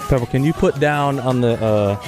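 Sports talk co-host, male, mid-pitch, playful ribbing, music bed underneath. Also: moderate pace. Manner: teasing, joking banter.

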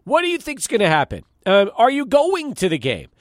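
A man's voice: high voice, huge pitch swings, a little fast, no room sound, clean studio sound. A middle-aged man hosting a sports talk radio show, conversational and emphatic, punctuating his points. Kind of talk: sports talk radio, chatting and prompting callers. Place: radio studio.

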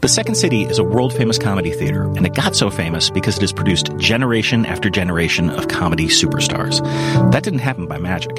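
A man hosting a podcast, reading an intro and then asking interview questions in a measured way. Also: sits low, very animated, loud music behind the voice. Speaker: podcast host.

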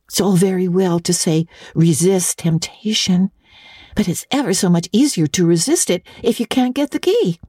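A woman's voice: lowish voice, animated, normal speed, mellow, husky, dry recording, studio quality, voice pushed up. A woman voicing a dreamy, talkative young girl in an audiobook, rambling eagerly in long breathless runs. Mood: chattering, earnest. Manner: breathless rambling girlish chatter. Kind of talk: audiobook reading.

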